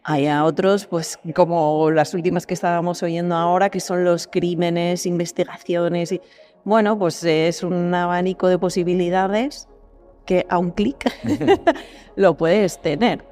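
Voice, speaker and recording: A woman's voice: on the low side, a little slow, dark tone, soft background music. A woman with an accent from Spain, a guest answering conversationally in a reflective, explanatory manner. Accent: Spanish from Spain. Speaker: voice actress, podcast guest.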